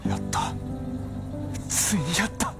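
An actor playing an ailing, dreamy doctor and inventor with theatrical, rapt delivery in a radio drama. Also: male voice, slow pace, loud music behind the voice, natural voice. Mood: triumphant relief. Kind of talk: Japanese radio drama acting.

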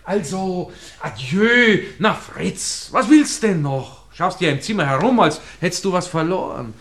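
A man's voice: sits high, animated, measured pace, fairly bright tone, heavily acted. An actor playing a bossy, unsentimental young man in a 1960s radio play, jaunty and teasing, with a Viennese accent. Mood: teasing, impatient. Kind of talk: 1960s German-language radio play acting.

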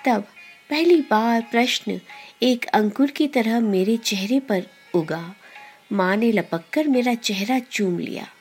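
Slow-paced woman storyteller over music. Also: on the high side, slightly rough. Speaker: podcast host and story reader. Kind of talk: scripted storytelling narration. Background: background music.

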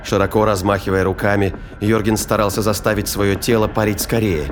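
Low-voiced male audio drama narrator. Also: level tone, a little fast. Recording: studio reading over prominent music and effects.

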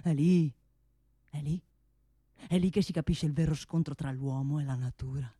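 Radio theatre: blustering, restless male industrialist, soft dark tone, dry close sound, bossy and repetitive. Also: low-pitched, moderate pace, breathy edge, no echo. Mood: boastful. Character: blustering wealthy male gun-factory boss, provincial, restless. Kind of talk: solo stage monologue for radio theatre.

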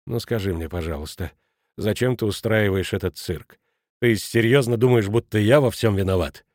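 A man's voice: lowish voice, normal speed, darkish tone, no room sound, clean studio sound, lightly acted. A man voicing a nervous, indignant man who protests in an agitated way in an audiobook. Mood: defensive.

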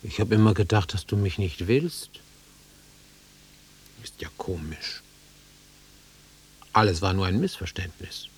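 A man's voice: low voice, a little slow, long pauses, breathy edge, exaggerated voice. An actor playing an awkward, sentimental middle-aged man in a German radio drama, self-deprecating and swinging between murmurs and outbursts. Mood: rueful.

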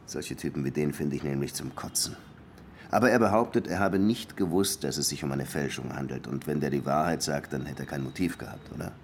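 An actor playing a blunt, sardonic police lieutenant in a radio drama, talking in a wry, deliberate way. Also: male voice, rumbling low, slight background noise. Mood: wry, musing.

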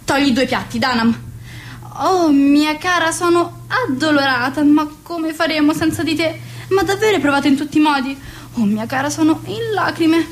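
A woman's voice: a little high, moderate pace, close to an everyday voice. An actress playing a fussy, bossy, social-climbing society mother in a radio play, with a theatrical, gushing manner. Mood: overdramatic dismay.

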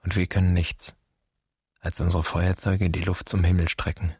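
A wounded son searching for an absent father in a literary radio drama. The delivery is solemn and poetic, in a very low, breathy-rough voice, close and dry. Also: little pitch movement, normal speed, a little mellow, no echo. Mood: sardonic, resigned. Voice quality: husky.

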